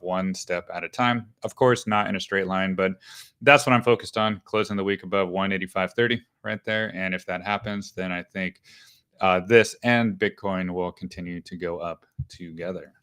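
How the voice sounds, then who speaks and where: A man hosts a live market show and walks through stock charts in a casual, conversational way. His voice sits a bit low and smooth. He thinks aloud, with fillers and small restarts between points. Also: a bit bright.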